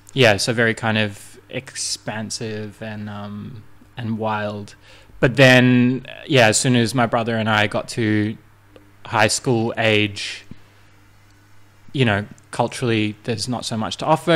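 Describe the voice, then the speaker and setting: Young guy from new zealand, laid back, lots of ums and likes, rambly musician chat. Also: fairly low pitch. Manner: relaxed, rambling, many fillers and restarts. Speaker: guest musician. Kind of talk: casual interview chat.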